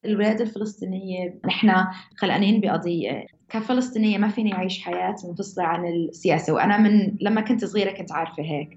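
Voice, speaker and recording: A woman's voice: mid-range voice, very fast, darkish tone, a little hiss or hum. A young woman with a mixed Levantine Arabic accent telling her own story casually, often restarting mid-phrase. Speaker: interviewee. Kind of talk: personal storytelling. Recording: roomy, slightly dull, music under.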